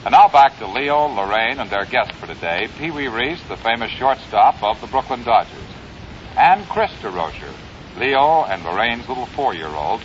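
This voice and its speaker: Male announcer, scripted old-time broadcast read, very animated intonation, muffled sound. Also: medium pitch. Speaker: radio announcer. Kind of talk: scripted 1950s radio announcing. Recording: dull, faintly noisy early-1950s radio recording.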